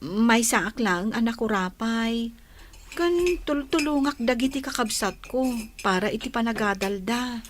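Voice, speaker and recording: A woman's voice: on the high side, a little slow, background noise, natural voice. A woman playing a shy, humble young village girlfriend in an Ilocano radio comedy drama, with a hesitant, demure manner. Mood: humble, earnest.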